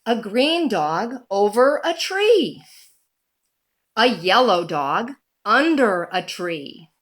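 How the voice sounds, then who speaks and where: A woman reading a children's picture book aloud in a deliberate, animated storytelling manner. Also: medium pitch.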